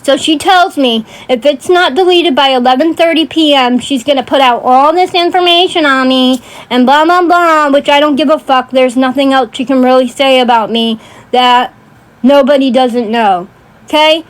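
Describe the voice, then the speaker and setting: A woman's voice: high voice, moderate pace. A woman ranting casually on a livestream in a blunt, sweary way, often checking in with emphatic tag questions. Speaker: livestream host.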